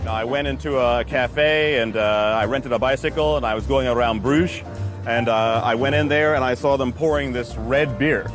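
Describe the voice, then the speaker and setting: American man, brewmaster, relaxed chatty talk, faint music underneath, outdoors in the city. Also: medium pitch, moderate pace. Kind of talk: casual outdoor conversation.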